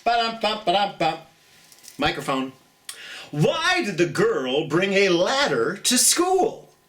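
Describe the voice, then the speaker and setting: Slow, expressive American children's storytime host. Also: medium pitch, recorded from a distance. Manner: theatrical, gentle delivery aimed at children. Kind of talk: children's picture-book read-aloud with chatty asides.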